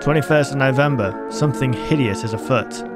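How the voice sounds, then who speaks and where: A grave investigator acted by a man narrates found documents for a horror film. The delivery is formal, measured and ominous, at a medium pitch. Loud music sits behind the voice. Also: close to an everyday voice. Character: grave investigator reading a doomed ship's log.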